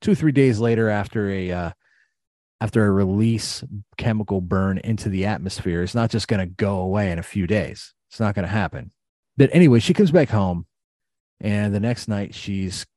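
Middle aged american dude, deep-ish mellow voice, dry sound, blunt sweary passionate account. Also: male voice, moderate pace, dry recording. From Ohio, United States. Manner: emphatic, repeats phrases, blunt and sweary. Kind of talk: impassioned personal account.

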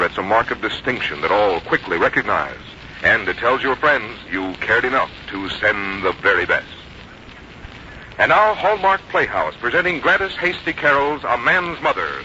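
A man's voice: medium pitch, a little hiss or hum. An American man announcing a 1950s radio program in a polished, emphatic, formal delivery. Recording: muffled old radio broadcast, loud music.

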